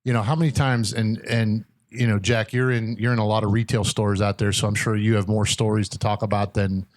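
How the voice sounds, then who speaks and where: A man's voice: lowish voice, level tone, brisk, no echo. An American man hosting an interview in a casual, chatty style. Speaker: interviewer, host. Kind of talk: casual chat.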